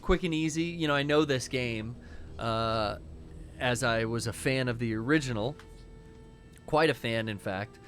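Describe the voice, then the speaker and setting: A man's voice: medium pitch, a little slow, a bit bright, soft background music. A man in his thirties co-hosting a casual livestream from a small home room full of records, joking and bantering loosely. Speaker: co-host.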